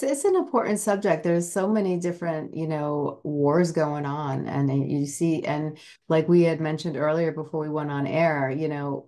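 A woman's voice: low voice, dark tone. A woman hosting a podcast interview, speaking earnestly with fillers over a video call. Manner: brief backchannel replies, then earnest rambling. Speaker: podcast host. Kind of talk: casual interview.

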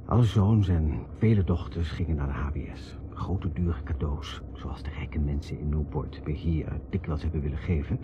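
A man's voice: rumbling low, normal speed, few pauses. An actor playing an earnest, persuasive man in a radio drama, in a conversational way. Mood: earnest, reflective. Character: Ted, earnest persuasive middle-class American man. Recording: noisy, muffled old radio drama recording.

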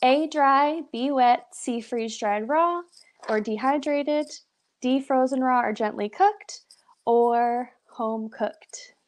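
A woman's voice: high voice, slow pace. A young woman presenting a live pet nutrition webinar over a video call, upbeat and encouraging, with lots of "so" and "awesome". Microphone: webcam on a video call.

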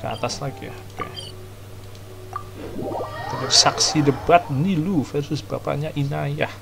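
A man's voice: fairly high pitch, moderate pace, gravelly. A man giving informal, slangy gameplay commentary as a streamer. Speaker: game streamer. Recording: loud game music behind the voice.

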